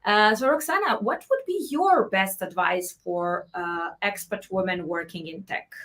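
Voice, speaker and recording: A woman's voice: medium pitch, a little slow. A woman hosting an online panel, asking questions and reading out viewer comments. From Serbia. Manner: warm encouraging questioner, reads chat comments aloud. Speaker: host.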